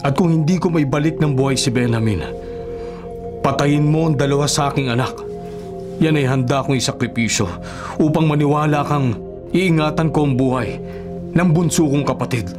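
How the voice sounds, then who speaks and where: A humble Filipino eldest brother played by a male radio actor, his voice a little high for a man. He is earnest, dutiful and respectful, speaking over a clear musical score. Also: moderate pace, pauses often, music in the background, lightly acted. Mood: solemn pledge. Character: responsible eldest brother, humble earnest pleading adult son. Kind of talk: Filipino radio drama acting.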